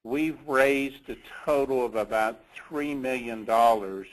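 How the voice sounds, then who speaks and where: A man presenting business results on an investor call as a company executive, in a measured, halting way with pauses mid-sentence. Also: medium pitch, poor audio.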